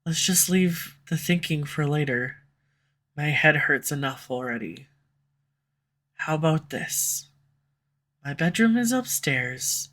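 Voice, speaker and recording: A tsundere female villain who is torn between pride and love, her words coming out flustered and defensive before softening into tenderness, as a woman plays her. The tone is bright and forceful. It is an audio roleplay recorded close on a USB condenser microphone at home. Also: deep voice. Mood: tender.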